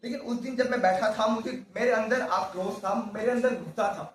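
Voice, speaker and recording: A man from Kolkata giving an earnest, halting personal account to an audience, slipping into English at times. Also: high voice. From Kolkata, India. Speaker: writer and director of the play. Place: stage venue, speaking to an audience. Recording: echoey, distant mic, faint noise.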